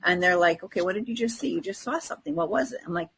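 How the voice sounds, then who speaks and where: A woman's voice: fairly low pitch, very fast, a bit bright, slight background noise. A woman in casual podcast conversation, telling personal anecdotes and acting out bits of dialogue.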